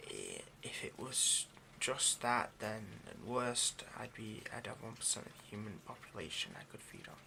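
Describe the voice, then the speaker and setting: A man playing an obsessive, hungry vampire in an intimate ASMR roleplay, murmuring haltingly and often slipping toward a whisper. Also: lowish voice, wildly swooping pitch, vocal fry, pushed and bright, slight background noise, exaggerated voice. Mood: halting, explanatory. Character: obsessive, hungry male vampire, possessive and seductive.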